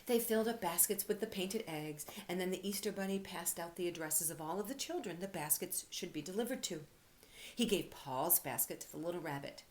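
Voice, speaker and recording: Low-voiced woman reading to children, hissy recording. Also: animated, fairly quick, a little mellow. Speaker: children's storyteller and teacher. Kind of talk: expressive scripted read-aloud for children.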